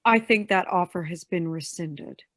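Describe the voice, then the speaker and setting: A woman's voice: fairly low pitch, a little slow, a bit bright, no echo, studio quality. An actress playing a tough, protective woman vigilante in a staged play reading. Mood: firm, defiant.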